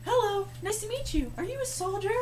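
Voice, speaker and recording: Woman acting a squeaky-high earnest young farm girl. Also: expressive, brisk, darkish tone, slight background noise. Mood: pleading. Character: earnest young farm girl who loves her cow.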